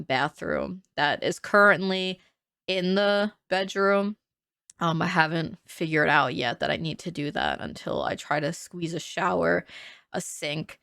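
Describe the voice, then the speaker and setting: Young woman, low and gravelly, nasal and a bit congested, chatty voice-over, dry close mic. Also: fairly bright tone, dry recording. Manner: chatty, rambling, laughs often. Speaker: Sims builder narrating a speed build. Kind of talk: casual voice-over commentary.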